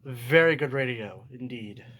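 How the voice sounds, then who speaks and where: A man's voice: mid-range voice, a little slow. Casual rambling man, noisy recording. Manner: rambling, backtracks and repeats himself. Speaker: collector presenting weather radios. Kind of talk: casual unscripted show-and-tell. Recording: noisy home recording with clicks.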